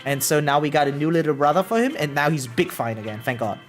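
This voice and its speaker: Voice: mid-range voice, animated, fast. Rambling streamer chatting over music, mid-range voice. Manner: rambling, repeats words, self-deprecating, informal swearing. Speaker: live streamer. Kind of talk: casual chat with viewers, reading out and answering comments. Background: background music.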